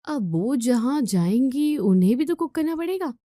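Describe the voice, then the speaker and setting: A woman's voice: high voice, sing-song, moderate pace, warm tone, dry recording, clean studio sound, voice pushed up. A woman voicing a lazy young daughter-in-law who protests and makes whiny excuses in a storybook tale. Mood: protesting.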